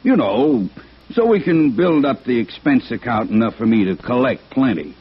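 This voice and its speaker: A man's voice: medium pitch, very animated, moderate pace, music in the background, close to an everyday voice. An American man playing a cocky, breezy private eye in a 1950s radio drama, folksy and self-assured. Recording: dull, lo-fi old radio recording.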